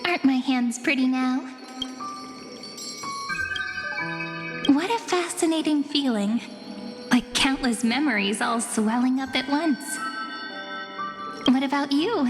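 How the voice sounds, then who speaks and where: Gentle high-voiced young game heroine, music swelling. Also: female voice, measured pace. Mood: tender wonder. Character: gentle, wistful, playfully mysterious young woman of memories. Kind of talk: scripted video game voice acting. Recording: long reverb, loud music behind.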